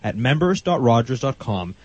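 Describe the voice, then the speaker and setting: Husky-voiced man co-hosting community radio. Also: mid-range voice, sing-song, a little mellow, no room sound, dull audio. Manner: chatty, reads announcements briskly. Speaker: radio co-host. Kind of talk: community radio talk show. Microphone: studio microphone.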